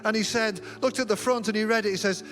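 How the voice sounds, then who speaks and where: A man's voice: sits high, fairly even intonation, fast, fairly bright tone, faint music behind. A middle-aged man preaching a sermon as senior pastor in a church, telling humorous, self-deprecating stories. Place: church auditorium.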